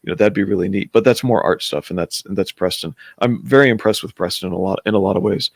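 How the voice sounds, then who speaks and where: A man with a fairly low voice hosts a livestream in a dry, close sound. He talks casually and wanders a little, breaking off to read chat messages before getting back to his questions. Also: fast, dry recording. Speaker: host of a comics chat livestream.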